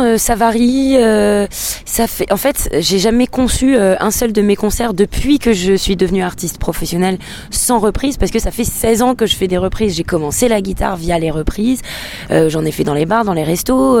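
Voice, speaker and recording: A woman singer-songwriter answers interview questions, her first language being English. She hesitates often, filling her pauses with ums and starting sentences over. Her voice sits at an ordinary middle pitch. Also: creaky, darkish tone, slight background noise. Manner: hesitant, many filler sounds and restarts.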